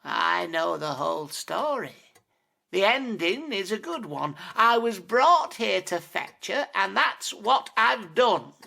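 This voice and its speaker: A woman's voice: medium pitch, big pitch swings, slow pace, vocal fry, sharp tone, full character voice. A woman voicing a sly, theatrical talking cat in a demon lord's service, with florid, old-fashioned phrasing, in an audio drama. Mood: smug, teasing. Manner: florid, archaic, teasing sing-song.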